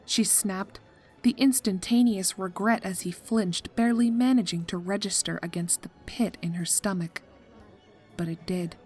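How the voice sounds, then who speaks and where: A woman's voice: mid-range voice, moderate pace, a little mellow. A woman reading scripted story narration for a fan fiction audio drama. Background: music.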